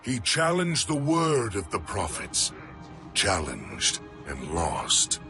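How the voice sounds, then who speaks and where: Really deep slow alien warrior, grave and brooding, game cutscene. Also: male voice, huge pitch swings, creaky, over music, full character voice. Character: weary male alien warrior elder, grave.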